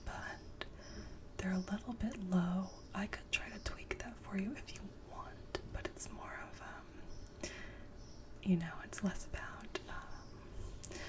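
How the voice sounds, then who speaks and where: A woman whispers softly in a breathy, gentle voice. She plays a telehealth clinician in an ASMR roleplay, murmuring in an unhurried, soothing clinical way. Her voice sits slightly away from the microphone. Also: mid-range voice, distant mic. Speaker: ASMR performer playing a telehealth doctor.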